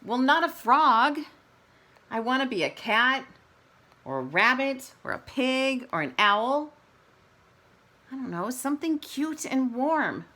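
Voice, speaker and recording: Little storybook frog, played by a woman: higher, bright, discontented and pleading, childlike emphasis. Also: very animated, slow, long pauses, heavily acted. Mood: glum, insistent. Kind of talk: children's picture book read-aloud.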